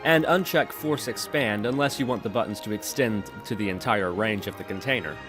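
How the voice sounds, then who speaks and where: A man narrating a software tutorial in an instructional, step-by-step manner. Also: lowish voice, fairly quick, over music. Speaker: tutorial presenter.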